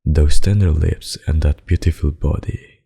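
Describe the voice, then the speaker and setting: A man playing a possessive, sadistic vampire lord in an ASMR roleplay, speaking in a husky murmur with slow, formal menace and mocking endearments. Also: fairly even intonation, warm tone, exaggerated voice. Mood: possessive, seductive. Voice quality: very deep, husky, gravelly murmur.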